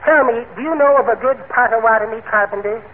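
An actor playing a meek, henpecked little poet in a timid, prim, genteel manner, in 1940s radio comedy style. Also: male voice, extremely high pitch, fast. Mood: sweetly aggrieved. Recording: noisy, tinny old radio broadcast.

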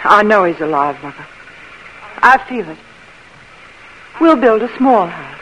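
A woman's voice: mid-range voice, a little slow, slight background noise, rough recording. A woman playing a young wife who is devoted to her husband, in earnest 1940s radio acting. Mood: hopeful. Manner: earnest, rising to breathless excitement.

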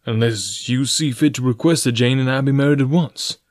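A man voicing an earnest, formal, pressing gentleman suitor of about forty in an audiobook story. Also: mid-range voice, no room sound, studio quality, natural voice. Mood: probing. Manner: deliberate, formal, blunt.

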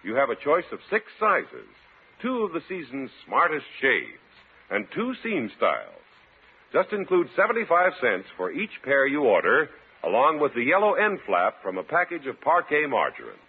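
A man's voice: medium pitch, huge pitch swings, normal speed, slight background noise. An American man announcing on old-time radio, reading a scripted advertisement with polished, emphatic salesmanship. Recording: tinny, muffled old radio recording.

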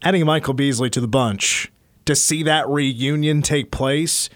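A man hosting a sports talk radio show, chatty and rambling, his voice getting a little hoarse. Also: mid-range voice, a little slow, a bit bright, no echo, studio quality. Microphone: studio microphone.

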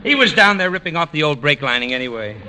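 An actor playing a glib American car mechanic who pads the bill in a classic radio comedy. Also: male voice, a little high, sing-song, quick pace. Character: glib, fast-dealing male car mechanic padding bills. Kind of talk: 1940s-50s American radio comedy acting. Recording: old radio recording, faint noise.

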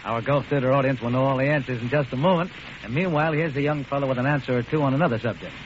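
A man's voice: medium pitch, rapid-fire. A man announcing and narrating a scripted drama as host in 1940s radio style. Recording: noisy, dull old radio broadcast.

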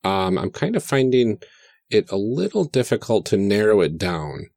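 A man's voice: on the low side, very animated, normal speed, darkish tone. A man playing a tabletop game for the first time, talking hesitantly with many fillers as he builds his character. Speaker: player. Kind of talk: casual tabletop chat.

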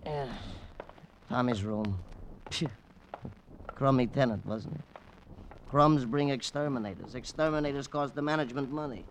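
A man acts out a gruff, nosy janitor from a vintage radio crime play. He grumbles in rough working-class phrasing and stammers as he thinks, at a middling pitch. The old recording is clearly noisy. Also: moderate pace, long pauses, lo-fi sound, natural voice. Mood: grudging, shifty. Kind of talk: old-time radio crime drama acting.

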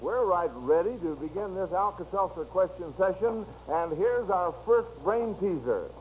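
American guy hosting an old time radio quiz, folksy, highish voice, bit of hiss. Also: lively intonation, normal speed. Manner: folksy, addresses listeners as friends. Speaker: quiz show host. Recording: noisy vintage radio broadcast recording.

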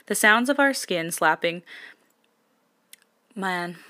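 A woman reads a first-person romance story in a hushed, intimate tone, pausing for self-corrections and laughing asides. Her voice is bright and sharp while sitting a little low in pitch. She sounds like a podcast host sharing a story. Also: animated. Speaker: podcast host reading fan fiction.

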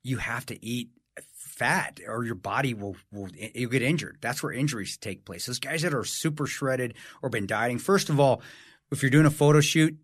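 A man's voice: medium pitch, normal speed, slightly rough, no echo, clean studio sound. A man, telling stories animatedly in casual podcast chat, swearing and mocking himself. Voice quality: nasal. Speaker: podcast co-host.

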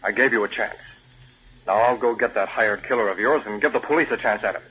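An actor playing a curt, commanding newspaper chief turned menacing vigilante in an old-time radio drama. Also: male voice, fairly low pitch, fast talker, husky. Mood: cool menace. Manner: curt, commanding, coolly threatening. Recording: tinny, noisy old radio recording.

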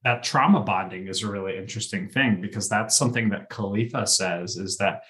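Lower-pitched man in podcast chat. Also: a little fast, fairly bright tone. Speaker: podcast panelist. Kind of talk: casual chat about a TV series.

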